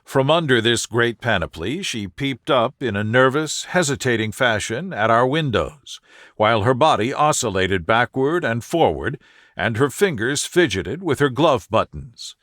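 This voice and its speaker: A man reading a scripted sleep story as an audiobook narrator, in a soothing, measured manner. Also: mid-range voice, lively intonation, a bit bright, dry recording, clean studio sound.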